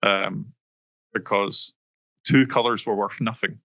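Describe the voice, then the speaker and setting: A man co-hosting a podcast, chatting casually and quickly with lots of ums and side remarks. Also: on the low side, fairly even intonation, creaky, bright, forceful tone, dry recording, dull audio. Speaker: podcast co-host. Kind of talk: casual chat about a reality show.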